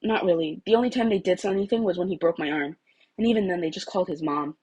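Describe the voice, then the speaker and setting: Earnest, nervous teen boy in a storybook, husky, emphatic female reader acting him. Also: on the low side, fast talker, a little mellow. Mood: uneasy. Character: earnest, anxious, forgiving teenage boy student.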